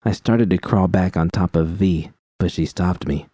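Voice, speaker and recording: A man narrating first-person fan fiction in a measured, steady storytelling manner. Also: low voice, dry recording, studio quality. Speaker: reader.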